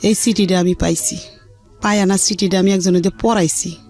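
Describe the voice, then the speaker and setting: A woman's voice: mid-range voice, brisk. A middle-aged woman with a colloquial Dhaka Bengali accent tells her own life story in an earthy, unpolished way, acting out what people said. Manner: earthy, unpolished, animated retelling with reported dialogue. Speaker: radio show guest telling her life story. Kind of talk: candid personal storytelling in conversation. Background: background music.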